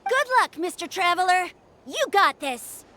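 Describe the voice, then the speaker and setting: A woman voicing an excited, admiring small child in a video game, with a childlike sound. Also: very high-pitched, unhurried, full character voice. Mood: encouraging. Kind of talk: video game character dialogue.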